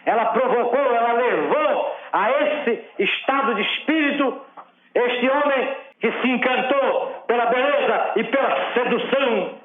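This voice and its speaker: An old, thin and echoing recording of a Brazilian man orating as if in court. He declaims theatrically at a very high pitch, stretching out his phrases. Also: measured pace. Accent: Brazilian Portuguese. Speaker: defence lawyer. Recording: thin phone-like roomy archive recording.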